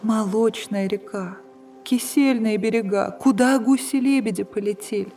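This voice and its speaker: A woman's voice: medium pitch, moderate pace, mellow, faint music behind, pitched above the performer's own voice, natural voice. Fairy-tale girl, woman-voiced, young and polite, raised pleading voice. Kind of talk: storybook reading.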